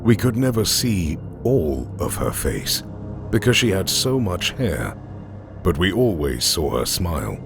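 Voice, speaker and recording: A man reading scripted horror stories as a narrator, in a deliberate, suspenseful way with drawn-out phrase endings. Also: sits low, sing-song, vocal fry. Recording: music under the voice. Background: background music.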